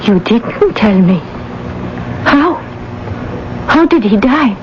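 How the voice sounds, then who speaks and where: High-voiced, anxious young woman in love. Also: animated, takes it slowly. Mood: shocked, questioning. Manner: earnest, emotional. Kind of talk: radio drama acting. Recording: dull, noisy old radio broadcast.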